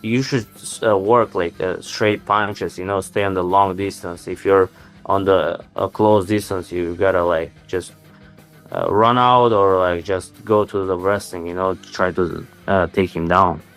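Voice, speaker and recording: Brief: young adult male, Armenian first language, low and smooth voice, halting English with many fillers, faint music bed. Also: steady tone, a bit bright. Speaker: interviewed fighter. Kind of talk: casual interview answers.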